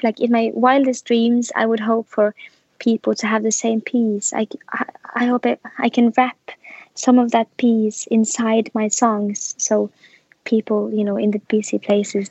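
A woman's voice: a little high, darkish tone, husky, dry recording. A young woman, a musician speaking reflectively in a casual interview, with many small restarts. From Norway. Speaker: musician guest. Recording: muffled, slightly lo-fi sound.

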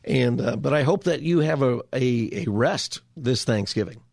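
A hoarse, raspy male radio talk host chatting casually from his studio, rambling with restarts. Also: mid-range voice, lively intonation, moderate pace, darkish tone, no room sound. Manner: meandering, lots of fillers and restarts. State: hoarse from a cold. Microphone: studio mic.

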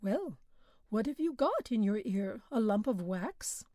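A man's voice: sits high, big pitch swings, measured pace, warm tone, no echo, clean studio sound. A woman reading a large, genial and talkative country priest. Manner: friendly, talkative.

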